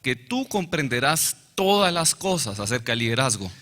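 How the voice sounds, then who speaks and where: A man interpreting a Bible lecture into Spanish, following the speaker phrase by phrase in a brisk, fluent way. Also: medium pitch, big pitch swings, fairly bright tone. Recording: reverberant hall.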